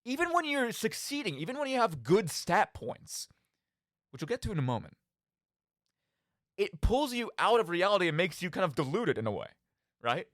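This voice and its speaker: A man with a slightly high voice and very animated, swooping intonation presents a games commentary show. He is theatrical and talks straight to the listener, throwing out rhetorical questions. The sound is close and dry. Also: normal speed, long pauses, no room sound. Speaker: podcast host. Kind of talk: radio monologue, commentary on video games.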